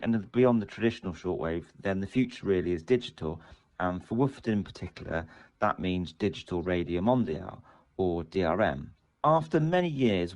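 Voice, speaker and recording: Male executive, very low register, measured prepared corporate statement. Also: darkish tone. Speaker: commercial director.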